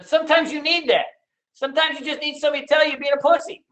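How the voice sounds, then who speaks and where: A middle-aged man teaching day trading in a casual, loud and blunt way, hooting and swearing as he talks over a screen share. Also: very high-pitched, steady tone, very fast. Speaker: trading educator.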